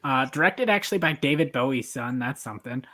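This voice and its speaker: A man with a middling pitch talks casually as a podcast host and judge. His delivery is conversational and informal. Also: animated, fairly quick, pushed and bright.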